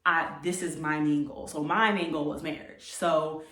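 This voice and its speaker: Low-voiced young American woman, echoey room. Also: fairly even intonation, normal speed, a bit bright. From United States. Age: late 20s. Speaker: vlogger. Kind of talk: casual personal storytelling to camera. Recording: echoey room, distant microphone.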